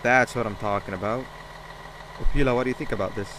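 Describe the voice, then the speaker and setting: A man's voice: medium pitch, fairly even intonation, quick pace, close to an everyday voice. A man voicing a cocky, teasing creature in a comic video game scene, chatting casually and sarcastically. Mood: sarcastic. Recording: clear car engine noise behind. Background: idling car engine.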